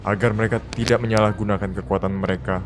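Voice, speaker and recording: A man's voice: on the low side, steady tone, fast, natural voice. A man voicing the benevolent soul of an ancient dragon god, telling its story solemnly in a Minecraft roleplay. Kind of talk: dramatic scripted narration in Minecraft roleplay. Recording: music behind.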